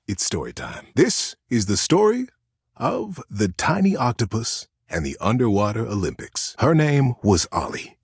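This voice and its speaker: A man voicing a lovable old teddy bear in a video game, a gentle, encouraging buddy with a folksy, playful, earnest way of giving advice and a husky voice. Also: mid-range voice, sing-song, measured pace, no room sound, studio quality, exaggerated voice. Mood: cozy storytelling. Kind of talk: video game character monologue, storytelling advice.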